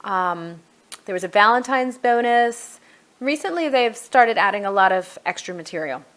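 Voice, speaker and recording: A woman's voice: medium pitch, expressive, normal speed, a bit bright. A middle-aged woman giving a casual product walkthrough as a reviewer, conversational and thinking aloud as she goes. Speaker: homeschool curriculum reviewer.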